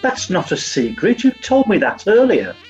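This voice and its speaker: A man playing a grumpy, dim-witted upper-class British gentleman detective, reluctant and flustered, in a 1940s radio comedy. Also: sits high, wildly swooping pitch, moderate pace, soft background music. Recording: muffled, distant microphone.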